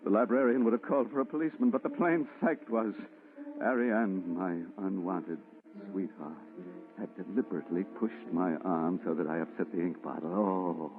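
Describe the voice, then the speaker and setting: A man's voice: deep voice, moderate pace, music in the background, lightly acted. A man acting a haunted, agitated man in an old-time radio horror play, dramatic and stammering, swinging from brooding to frantic. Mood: brooding narration. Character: haunted, agitated man obsessed with a ghostly woman. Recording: muffled, distorted vintage radio recording.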